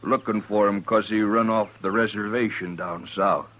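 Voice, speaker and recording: A man's voice: on the low side, steady tone, moderate pace, fairly bright tone, muffled recording, close to an everyday voice. An actor playing a surly, defensive hard-drinking frontier man with a rural American drawl in a western radio drama.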